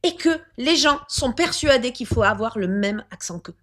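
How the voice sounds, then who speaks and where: A woman's voice: on the high side, expressive, normal speed, a bit bright. An actress playing a stubborn, self-assured clown woman with a strong odd accent and rolled R, who keeps insisting and turning every argument around in a comic interview sketch. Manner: insistent, repeats herself, turns arguments around.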